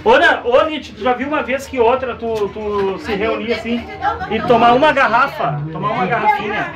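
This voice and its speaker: A man's voice: very high-pitched, lively intonation, moderate pace, a crowd in the background. A man chatting and joking while he films a family meal being cooked in a home kitchen. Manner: playful, teasing, jokey. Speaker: home video camera operator. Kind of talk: casual joking chat while cooking. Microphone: handheld camera. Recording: camcorder audio off-mic with loud TV behind. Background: loud TV music and talk.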